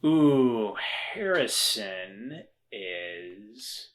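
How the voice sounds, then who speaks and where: A man chatting casually as a player in a tabletop game podcast, feeling his way through ideas out loud. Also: fairly low pitch, expressive, very slow. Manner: hesitant, thinking aloud. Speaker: player in an actual-play podcast.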